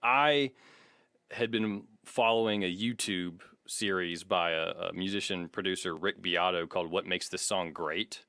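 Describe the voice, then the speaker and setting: A man's voice: sits low, unhurried, sharp tone, dry recording, clean studio sound. A man co-hosting a podcast in a casual, chatty, self-deprecating way, explaining things in an informal, rambling style. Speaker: podcast co-host.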